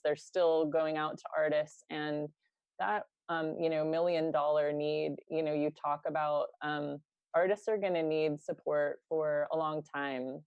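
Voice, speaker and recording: A woman moderating a panel conversation over a video call, speaking gently and haltingly with many fillers. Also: low voice, no room sound. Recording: dry, dull video call audio.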